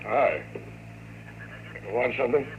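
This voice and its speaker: A man playing a slow-witted, evasive, easily scared janitor in a 1950s radio drama, halting and protesting. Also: mid-range voice, close to an everyday voice. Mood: sullen, evasive. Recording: muffled, noisy old radio recording.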